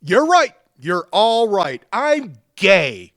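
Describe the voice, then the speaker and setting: A man's voice: high-pitched, very animated, very slow, a bit bright, no room sound, heavily acted. A man plays a defiant, exasperated son in an audio family drama. His voice swings up and down with sarcasm and disbelief, close and dry on the recording. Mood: sarcastic, hurt. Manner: sarcastic, incredulous. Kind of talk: audio theatre family comedy-drama acting.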